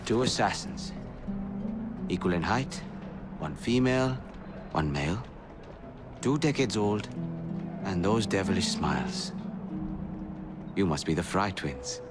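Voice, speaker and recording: Courteous low-voiced man, over loud music. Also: expressive, slow, long pauses, heavily acted. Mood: courteous, intrigued. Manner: formal, polite, articulate. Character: courteous, well-spoken male assassin mentor. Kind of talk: video game cutscene acting.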